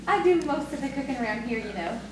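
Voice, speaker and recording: A woman's voice: on the high side, expressive, quick pace, natural voice. A woman playing a coy, proud young farmer's daughter in audio theatre, with an earnest storytelling manner. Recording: distant, very echoey, noisy stage recording.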